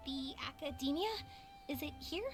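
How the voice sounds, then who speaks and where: An actress playing an excitable, cheerful young woman on her first trip abroad in a video game, exclaiming with wide-eyed wonder. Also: high voice, normal speed. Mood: curious. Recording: game music behind the voice.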